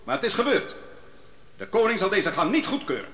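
An actor playing a young prophet who sharply questions witnesses and challenges a judge in an old radio drama. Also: male voice, high-pitched, very animated, a little fast, full character voice. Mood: commanding. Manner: sharp, commanding, interrogating. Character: young prophet defending the accused, commanding. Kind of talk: 1960s Dutch radio drama. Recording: reverberant old radio recording.

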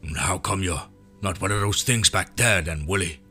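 A man reading the part of a steady adult male companion who asks calm questions. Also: deep voice, moderate pace, husky, faint music behind, full character voice.

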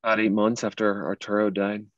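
A low male voice, close and dry, an actor as an earnest man in his late thirties carrying grief in a stage drama. He talks conversationally, and the sound is a little dull. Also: monotone, a little fast, fairly bright tone, no room sound, close to an everyday voice. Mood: earnest, sorrowful. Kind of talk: table read of a dramatic script.

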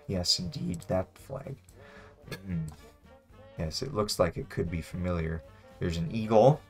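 Low-voiced young man musing over a game. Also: measured pace, a little mellow, husky, soft background music. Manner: hesitant, musing, hums while thinking. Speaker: guest player, beginner. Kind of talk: casual gameplay chat, thinking aloud. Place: small home room indoors.

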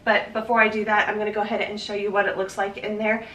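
Woman in her 30s, casual cleaning chatter, thinks out loud, echoey kitchen, a bit off-mic. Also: medium pitch, flat delivery, fast, recorded from a distance, a little hiss or hum. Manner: chatty, thinks aloud with fillers and restarts. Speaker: cleaning vlogger. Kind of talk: casual talk while cleaning. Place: home kitchen, indoors. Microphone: camera mic from a few feet away. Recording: roomy kitchen sound from off-mic.

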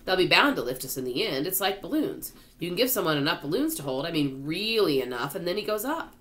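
A woman voicing an earnest, thoughtful young boy in a storybook, in a measured manner. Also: on the low side, big pitch swings, fairly bright tone, pitched above the performer's own voice.

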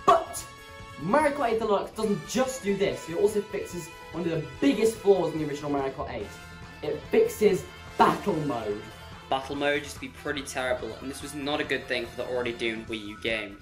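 A man's voice: a little high, expressive, fairly quick, a bit bright, soft background music. A teenage male video host reading a scripted game review in a wry, tongue-in-cheek manner.

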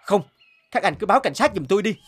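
An actor playing an impatient, proud adult son in an animated story, with an emphatic, scolding manner. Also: male voice, sits high, fast, background noise. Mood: angry. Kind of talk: animated moral story dubbing.

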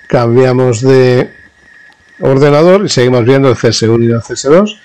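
Male instructor, medium-pitched voice, informal hands-on tech demo, explanatory, stop-and-start delivery with self-corrections. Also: normal speed, a little mellow. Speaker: radio communications instructor. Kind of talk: informal hands-on technical demonstration narration.

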